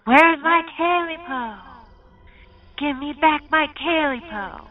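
A woman voicing a small vengeful clawed creature with slow, drawn-out hissing wails. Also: high-pitched, phone-quality sound, pitched above the performer's own voice. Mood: wailing, demanding.

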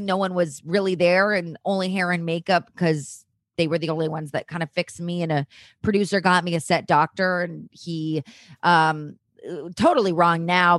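A woman's voice: lowish voice, no room sound, studio quality. A woman in her thirties, a guest actress, talking candidly and chattily in a casual interview. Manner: candid, chatty, filler-heavy.

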